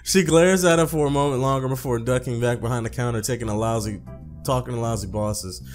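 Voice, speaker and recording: A man's voice: mid-range voice, steady tone, a little fast. Casual young man reading over music. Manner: informal, profane. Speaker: gaming video host. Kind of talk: reading a visual novel aloud with casual commentary.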